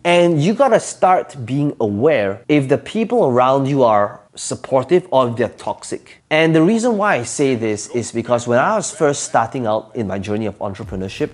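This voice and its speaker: A man's voice: mid-range voice, sing-song, normal speed, hardly any pauses. A man whose first language is Cantonese gives motivational advice to camera, speaking emphatically, asking rhetorical questions and repeating his key phrases. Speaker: entrepreneur giving advice.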